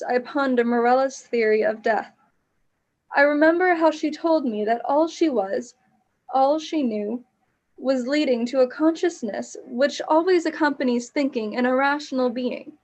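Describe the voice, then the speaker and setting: A woman playing a somber witness narrating an eerie gothic radio play in a measured, reflective way. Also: on the high side, a little mellow, lightly acted.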